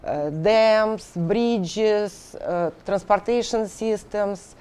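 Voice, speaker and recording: Slow, mellow-voiced Russian-accented woman. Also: mid-range voice, clean tone. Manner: halting, many fillers and restarts. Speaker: engineering instructor. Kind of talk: interview answers.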